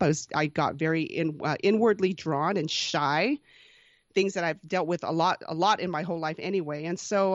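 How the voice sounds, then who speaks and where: A woman in her forties telling her life story as an interview guest, earnest and reflective, often restarting sentences and breaking into soft laughs. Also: on the low side, dry recording, muffled recording. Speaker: podcast host being interviewed as guest. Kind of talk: candid personal storytelling.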